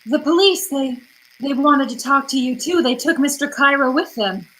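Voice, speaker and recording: A woman's voice: high-pitched, moderate pace, fairly bright tone, rough recording. A woman playing an alluring, deceitful femme fatale in an old-time radio drama, with a melodramatic, pleading manner. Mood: anxious.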